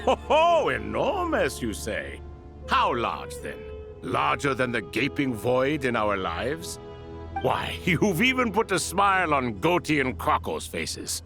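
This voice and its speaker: An actor playing an ancient, wry and chatty spirit lord in a video game, with creaky, theatrical, old-fashioned politeness. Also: male voice, mid-range voice, wildly swooping pitch, takes it slowly, music in the background, heavily acted. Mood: amused, curious. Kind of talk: video game character acting.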